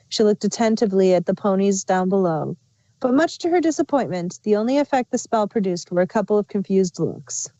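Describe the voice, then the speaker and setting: A woman's voice: medium pitch, mellow, no echo, highs cut off. A woman reading scripted fan fiction aloud as the narrator.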